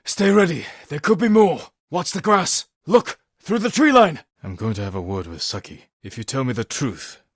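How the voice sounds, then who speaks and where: An actor voicing a solemn, resolute, honourable samurai in a video game, speaking in a terse, measured, serious way. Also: male voice, a little high, sing-song, no echo, clean studio sound. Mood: urgent, commanding, then grave, determined.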